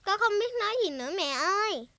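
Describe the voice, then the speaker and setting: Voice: very high-pitched, animated, fairly quick, pushed and bright, dry recording, studio quality, full character voice. An actor voicing a cheerful, bossy little girl piglet in a children's cartoon dub, eager and childlike. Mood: curious, eager. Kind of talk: animated children's show dub.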